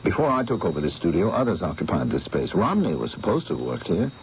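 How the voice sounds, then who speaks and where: An earnest painter talks and narrates his tale, his pitch low but swinging widely. It comes through a dull old radio broadcast with faint hiss. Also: big pitch swings, fast. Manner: earnest, persuasive, reflective narration. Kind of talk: 1970s radio drama acting.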